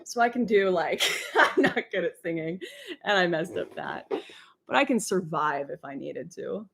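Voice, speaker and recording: A young woman with a deep voice, hosting a casual livestream and reading out chat messages. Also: animated, moderate pace, creaky, fairly bright tone, husky. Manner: chatty, rambling, laughs often.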